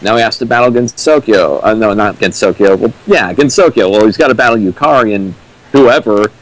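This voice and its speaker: A man's voice: lowish voice, fairly quick, low-quality recording. A man giving casual, critical commentary as a co-commentator, with a dry manner.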